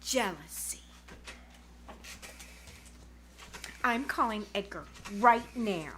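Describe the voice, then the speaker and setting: A woman's voice: mid-range voice, animated, unhurried, a bit bright. A woman playing a bossy, scheming teenage girl in old-time radio comedy style, emphatic and wheedling. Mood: scheming, eager. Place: live on a small theater stage.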